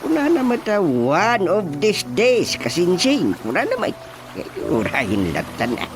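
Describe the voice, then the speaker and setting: A man acting a sheepish, excitable, defensive husband in an Ilocano comedy radio drama. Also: sits high, measured pace, over music, heavily acted. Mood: gossipy.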